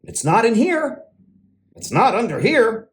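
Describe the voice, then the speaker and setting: A man voicing an anxious, excitable squirrel in a children's storybook, fretful and given to dramatic exclamations. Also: extremely high pitch, measured pace, full character voice. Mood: alarmed. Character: anxious, excitable squirrel fretting over stolen leaves. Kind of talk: children's picture book read-aloud.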